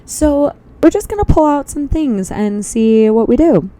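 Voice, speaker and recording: A woman's voice: sits high, animated, unhurried, dark tone, a little hiss or hum, poor audio. A young woman vlogging in a casual, upbeat, chatty way, thinking aloud, her voice a little tired. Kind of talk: casual vlog chat. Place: walking outdoors on a loud city street.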